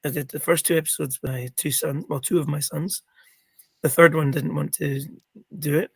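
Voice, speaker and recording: A middle-aged man with a croaky, gravelly voice, chatting as an interview guest and rambling with many ums and restarts. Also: fairly high pitch, fairly even intonation, normal speed, no room sound. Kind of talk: casual interview chat.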